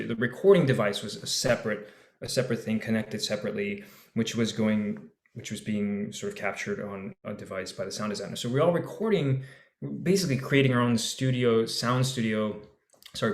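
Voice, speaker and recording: Male actor, panel chat over video call, loose and animated, roomy echo. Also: on the low side. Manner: loose and animated, full of fillers and restarts. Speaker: radio play actor. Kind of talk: casual panel discussion. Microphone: home setup over video call.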